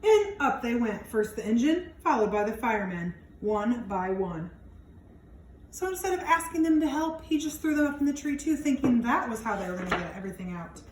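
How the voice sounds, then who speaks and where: Higher-voiced woman reading to children, echoey room. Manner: animated, drawn-out emphasis, wondering aloud to young listeners. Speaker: storyteller reading a picture book to children. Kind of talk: expressive children's read-aloud with chatty asides. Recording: echoey room with some background noise.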